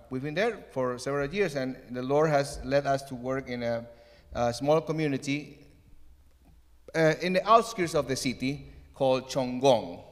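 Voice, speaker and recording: A middle-aged man from Ecuador, a missionary giving an earnest church update with many pauses and fillers. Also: medium pitch, long reverb tail.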